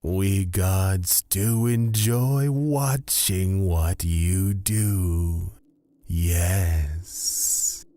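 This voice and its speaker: An actor playing a calm, menacing god of fear, speaking deliberately and formally in a husky, breathy voice in a fantasy audio drama. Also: male voice, deep voice, no room sound. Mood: amused, measured. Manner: deliberate, formal, drawn-out phrasing.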